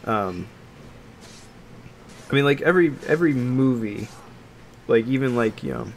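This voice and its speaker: A man's voice: medium pitch, measured pace, vocal fry. A man in casual, opinionated chat as a podcast co-host, explaining his points in qualified fragments. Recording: noticeable background noise.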